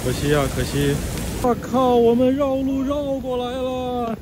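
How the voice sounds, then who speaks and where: A man vlogging casually on a hiking trail in heavy rain, talking into a handheld phone with excited exclamations. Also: very high voice, measured pace, very noisy. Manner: excited exclamations, chatty asides. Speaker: travel vlogger. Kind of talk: casual on-the-go vlog chat.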